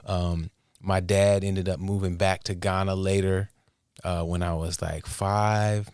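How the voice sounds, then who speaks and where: A man from the United States with an American accent, talking casually and haltingly as an interview guest. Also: low voice, level tone, a little mellow, slight background noise. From Portland, Oregon, United States. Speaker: interview guest, music producer and inventor. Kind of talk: casual conversational storytelling.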